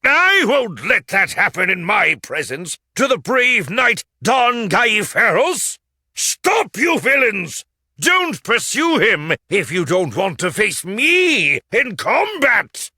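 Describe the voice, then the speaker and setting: A woman's voice: medium pitch, very animated, slow, pushed and bright, breathy edge, no echo, clean studio sound, pitched above the performer's own voice, heavily acted. A woman voicing an indignant, grandiose male knight in a storybook, declaiming pompously. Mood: outraged. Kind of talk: theatrical children's storybook read-aloud.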